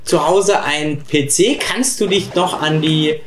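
A man in his thirties whose first language is Low German, chatting and joking as co-host of a retro game let's play. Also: a little slow, a bit bright. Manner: jokey, chatty, asks questions. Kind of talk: casual chat over gameplay. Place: small room indoors. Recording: roomy sound, faint noise.

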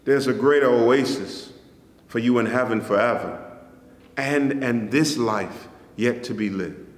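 A pastor in his forties preaching a sermon in a church sanctuary, measured and rising on key phrases, then dropping soft at the ends of lines. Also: mid-range voice. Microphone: stand mic in front, camera at a distance. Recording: echoey church hall.

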